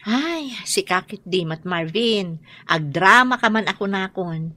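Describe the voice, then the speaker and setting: A woman's voice: medium pitch, animated, unhurried, bright, forceful tone, dry recording. An actress playing a chatty, teasing young woman in a radio drama, with an Ilocano accent.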